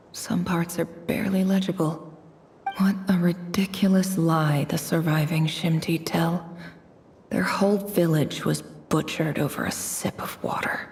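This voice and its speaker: Low-voiced, shrewd, composed young woman. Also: creaky, a little mellow, slight background noise. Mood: grim, cool. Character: shrewd, composed young desert-born female student. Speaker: voice actor in a video game. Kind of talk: scripted game dialogue and narration.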